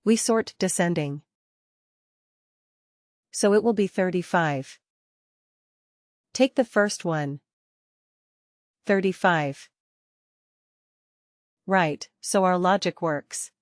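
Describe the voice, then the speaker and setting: A woman working as a software trainer, teaching interactively step by step, repeating key points and posing questions to learners. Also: on the low side, drawn-out words, long pauses, no room sound, studio quality.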